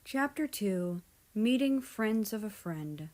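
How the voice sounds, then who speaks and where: A woman's voice: medium pitch, expressive, slow, a little mellow. A woman reading fan fiction aloud as a first-person narrator.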